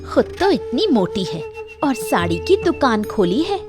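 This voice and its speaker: A woman's voice: sits high, very animated, measured pace, music in the background. A woman voicing a catty, judgmental village woman in a cartoon story, with a sneering delivery. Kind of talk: cartoon moral story voice acting.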